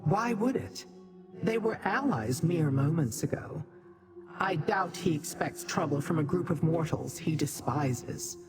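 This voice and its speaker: An actress playing an imperious, scholarly demon sorceress in a video game, speaking formally and with scorn for mortals. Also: female voice, low voice, animated, over music. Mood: explanatory, confident. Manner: formal, measured, haughty delivery.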